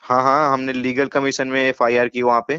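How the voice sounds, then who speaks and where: A man's voice: medium pitch, little pitch movement, a little fast, bright, forceful tone, clear, resonant tone. An Indian man on a phone call, informally recounting his own experience, insistent and often repeating his words. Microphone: phone line. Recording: muffled phone call with faint noise.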